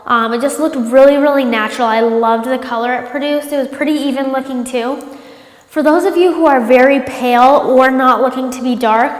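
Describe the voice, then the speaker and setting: A woman's voice: sits high, steady tone, fairly quick. A woman reviewing a product in a casual vlog, sounding congested from a cold and chatting with lots of ums. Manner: chatty, many ums, upbeat. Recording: echoey room with long reverb.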